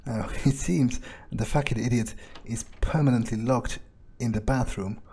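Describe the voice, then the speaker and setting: A man's voice: mid-range voice, normal speed, mellow, slightly rough. A man playing a video game in character, muttering his thoughts aloud in a sardonic, foul-mouthed way.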